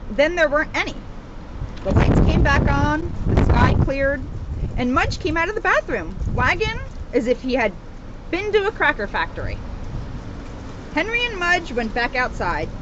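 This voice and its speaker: Lively high-voiced woman officer, muffled recording. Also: unhurried, background noise. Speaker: police officer reading a children's book to the community. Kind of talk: storybook read-aloud and informal community message.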